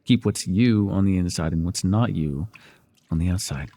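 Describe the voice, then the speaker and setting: Breathy, low-voiced teasing villain mentor played by a man. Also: moderate pace. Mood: patient, instructing. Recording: reverberant indoor pool echo.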